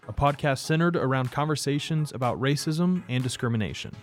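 A man's voice: mid-range voice, expressive, brisk, faint music behind. A man in his twenties hosting a podcast, reading a scripted intro and then asking easygoing interview questions. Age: 20s. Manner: easygoing, rephrases questions as he asks them. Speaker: podcast host.

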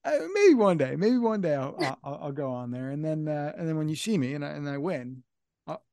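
A man's voice: fairly high pitch, animated, fairly quick, crowd noise. A young man hosting a casual podcast interview, enthusiastic and repeating his phrases as he talks. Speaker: podcast host.

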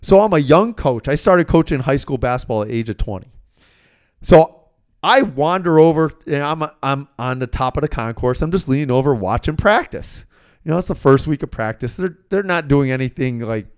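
An American man hosting a sports podcast as a coach, talking casually and bluntly in an animated storytelling way. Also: medium pitch, normal speed, clear, resonant tone. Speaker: sports betting podcast host and basketball coach.

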